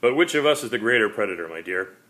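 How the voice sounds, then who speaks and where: A man's voice: lowish voice, expressive, fast talker. A man voicing a lonely, despairing last vampire, a polite predator, in a dramatic story reading. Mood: lonely, bitter. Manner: pleading, rising into manic shouting.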